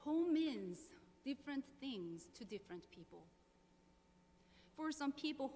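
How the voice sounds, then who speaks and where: A woman pastor in her thirties from South Korea, speaking English with a Korean accent, leading prayer, reading scripture and preaching in a measured, earnest way. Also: high voice. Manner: measured, earnest, slow deliberate phrasing. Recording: long hall reverb, faint hum.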